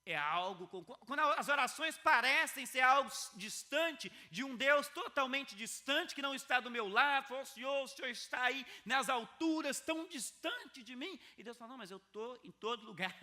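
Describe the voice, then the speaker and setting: A male pastor giving an earnest video sermon, often repeating key phrases for emphasis and swinging between quiet, low passages and loud, high ones. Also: lively intonation, moderate pace.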